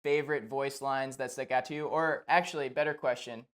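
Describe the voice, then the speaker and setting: Male show host, medium pitch. Informal, enthusiastic, conversational questioning. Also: steady tone, fairly quick, fairly bright tone. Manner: enthusiastic, appreciative. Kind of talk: casual conversational interview.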